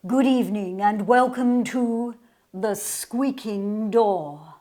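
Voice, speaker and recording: A woman performer presenting a radio play in a deliberate, theatrical storytelling style. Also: mid-range voice, warm tone. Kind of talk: theatrical scripted narration and demonstration.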